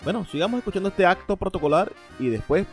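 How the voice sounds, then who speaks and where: A man's voice: high-pitched, animated, fast. A man with a Venezuelan accent presents a literary radio programme enthusiastically as its host. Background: faint music.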